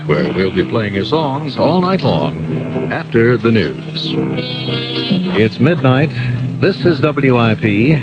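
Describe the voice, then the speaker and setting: A man announces for an overnight radio station in the 1970s. He speaks very slowly in a low voice. Loud music plays under him, and the broadcast sound is a little dull. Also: wildly swooping pitch.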